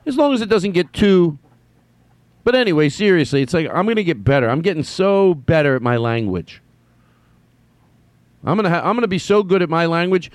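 A man's voice: a little high, clear, resonant tone, dry recording. A man hosting a solo comedy podcast, rambling and correcting himself as he jumps between thoughts. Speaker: podcast host. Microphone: headphones on, studio mic.

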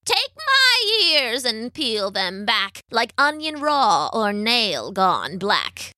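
An ancient, wicked witch from a storybook, croaking and gloating. She drags out her words with taunting menace, in a sharp, forceful tone that sits fairly high. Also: big pitch swings, dry recording, studio quality, heavily acted. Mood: chanting. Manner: slow, drawn-out, taunting. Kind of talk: storybook villain voice.

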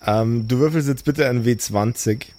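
A man's voice: medium pitch, normal speed, warm tone. A man narrating and chatting casually as the game master of an improvised role-play show. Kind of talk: improvised tabletop narration and chat.

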